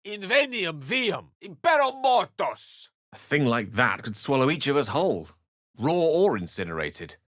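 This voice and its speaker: An actor playing a bookish, genteel wizard in a video game, articulate and measured, with a wry, theatrical way of talking. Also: male voice, mid-range voice, fairly bright tone, no room sound, studio quality. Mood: incanting. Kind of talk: video game voice acting, narration and dialogue.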